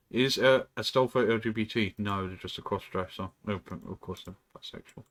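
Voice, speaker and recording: Slightly low-voiced man streamer rambling. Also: huge pitch swings, moderate pace, a little mellow. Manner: rambling, with frequent throat clearing and swearing. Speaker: live streamer. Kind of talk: casual stream chat. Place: indoors at home.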